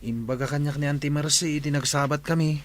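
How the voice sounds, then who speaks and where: A man's voice: fairly high pitch, fairly even intonation, fast talker, close to an everyday voice. A man playing an earnest, baffled young village son in a radio drama. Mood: puzzled, earnest.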